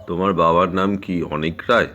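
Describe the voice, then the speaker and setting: A man acting a grave, guarded middle-aged village father in an audio drama, speaking in a measured, cautionary way. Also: sits low, steady tone, bright, forceful tone. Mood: probing, serious.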